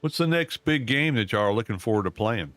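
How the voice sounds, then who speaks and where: A man, streaming a game and muttering to himself in a drawling, self-mocking way, his voice going hoarse. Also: on the low side, animated, fairly quick, a bit bright, dry recording. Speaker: gaming streamer. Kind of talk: casual gameplay commentary.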